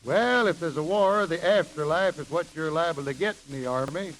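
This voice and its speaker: A man's voice: on the high side, moderate pace, a bit bright, a little hiss or hum, natural voice, poor audio. An actor playing a mild, awkward ex-soldier handyman in an old-time radio comedy, hesitant, folksy and earnest.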